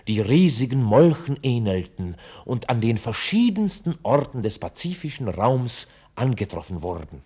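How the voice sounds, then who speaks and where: An actor playing a dry, ironic narrator, reading scripted radio play narration like a documentary report. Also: male voice, medium pitch, a little mellow.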